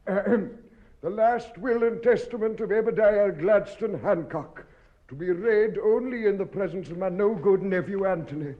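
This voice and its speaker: Actor as high-voiced, solemn solicitor. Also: male voice, close to an everyday voice. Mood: solemn, formal. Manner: formal, measured, mournful. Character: fussy, formal male family solicitor, solemn and sentimental. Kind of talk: 1950s British radio sitcom acting. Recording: dull, muffled old radio recording.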